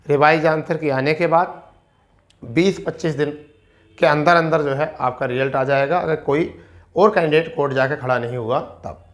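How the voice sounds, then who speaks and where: Higher-pitched man explaining to students. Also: steady tone, moderate pace. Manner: repeats key phrases for emphasis. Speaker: exam coaching teacher giving recruitment updates.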